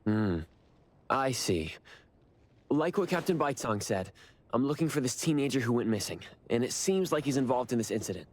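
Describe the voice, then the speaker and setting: Man acting an earnest, mid-pitched young investigator. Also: expressive, moderate pace, close to an everyday voice. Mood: serious. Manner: straightforward, earnest. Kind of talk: anime video game voice acting.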